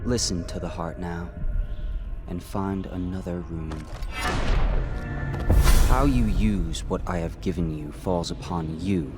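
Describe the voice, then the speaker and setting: Slow, very low enigmatic god. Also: male voice. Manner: measured, cryptic, solemn. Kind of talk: scripted video game monologue.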